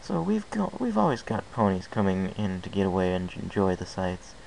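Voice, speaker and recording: A man narrates a first-person story with an earnest, unpolished delivery. His voice is very deep and carries a gravelly fry. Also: big pitch swings, moderate pace, a little mellow, slight background noise, rough recording. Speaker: fan fiction reader.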